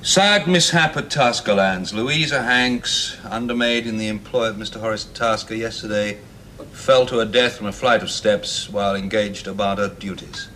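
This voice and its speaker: An actor playing a brisk, impatient British man in charge of a team, speaking abruptly in a 1970s television drama. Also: fairly low pitch, bright, forceful tone. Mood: intrigued. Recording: slightly noisy 1970s television soundtrack.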